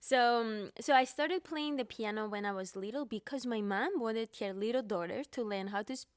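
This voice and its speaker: A woman's voice: on the high side, moderate pace, dry recording, studio quality. A young woman from Cyprus with a Greek Cypriot accent, a pianist being interviewed, talking with many fillers and restarts. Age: young adult. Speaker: pianist guest. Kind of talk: podcast interview.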